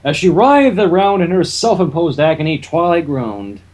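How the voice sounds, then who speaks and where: A story reader with a husky, mid-pitched voice. The narration is lively and varied, loosening into casual chat with friends between passages. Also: moderate pace. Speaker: fan fiction reader.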